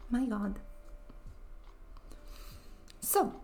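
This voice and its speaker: A woman's voice: a little high, expressive, very slow, darkish tone, soft background music. A woman with a nasal voice chatting casually as she streams a game, often laughing between phrases. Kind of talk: casual let's-play commentary.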